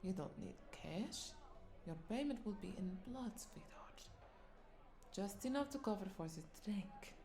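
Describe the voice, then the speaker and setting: Flirty, creaky-voiced vampire bartender played by a woman. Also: mid-range voice, lively intonation, a little slow. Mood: coaxing, seductive. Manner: flirtatious, teasing, breathy asides dropping into whispers. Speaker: audio roleplay voice performer. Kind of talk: intimate scripted audio roleplay.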